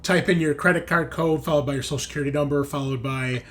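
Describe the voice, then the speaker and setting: A man's voice: fairly high pitch, fairly even intonation, fast, a bit bright. A man running a tabletop roleplaying game as game master, improvising narration in a casual, joking way.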